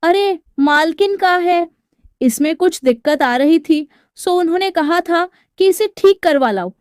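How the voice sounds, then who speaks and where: A woman's voice: very high-pitched, animated, unhurried, no echo, studio quality, pitched above the performer's own voice. A woman voicing a brazen, evasive housemaid wife in a dramatised story, retorting dismissively. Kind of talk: dramatised Hindi moral storytelling.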